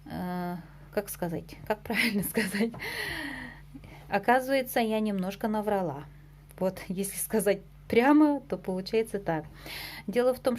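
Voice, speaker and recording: A woman's voice: mid-range voice, darkish tone, a little hiss or hum. A woman vlogging casually about her embroidery projects at home, chatty and a bit hesitant, with fillers and small laughs. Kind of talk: casual show-and-tell vlog.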